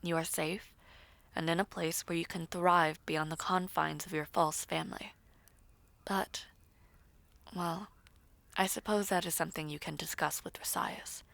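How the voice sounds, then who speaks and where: Actress as composed fantasy noblewoman: lowish, creaky voice, formal, measured and reassuring. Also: steady tone, fairly bright tone, no echo. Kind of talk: fantasy audio roleplay acting.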